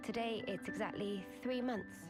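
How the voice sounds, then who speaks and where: A woman's voice: medium pitch, normal speed, over music, close to an everyday voice. An actress voicing a young woman soldier in a game, who speaks reflectively and earnestly about her final months.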